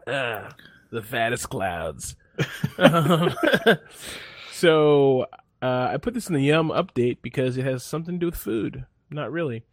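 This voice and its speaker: A man with a middle-pitched voice tells a meandering story in a relaxed, easygoing way. He stumbles through restarts and fillers, and the recording sounds dry and close with no room echo. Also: measured pace. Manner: rambling, laid-back storytelling with many fillers and restarts. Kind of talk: casual chat.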